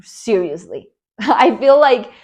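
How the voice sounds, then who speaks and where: A young Colombian woman with a Colombian Spanish accent, Spanish her first language, speaks to camera as an online career coach. Her voice sits fairly high, and she talks in an earnest, upbeat way, leaning on emphatic promises to push the listener forward. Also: big pitch swings, takes it slowly, a little mellow. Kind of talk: motivational talk to camera.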